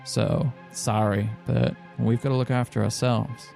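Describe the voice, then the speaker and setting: A man's voice: mid-range voice, little pitch movement, unhurried, gravelly, warm tone, faint music behind. A man playing a tabletop role-playing game, talking casually and speaking for his character. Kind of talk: casual in-game talk and roleplay.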